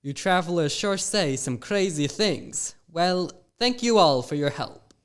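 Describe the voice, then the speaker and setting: A proper, old-fashioned school founder, voiced by a woman, who speaks with genteel formality. Her voice sits low but moves in a lively way. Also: measured pace.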